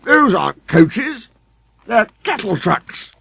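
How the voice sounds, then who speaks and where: Man voicing a haughty, grumbling steam engine with swooping pitch. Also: big pitch swings, slow, gravelly, sharp tone, breathy edge, no room sound, exaggerated voice. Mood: indignant. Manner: haughty, sulky, complaining. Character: pompous, grumbling, conceited male steam engine.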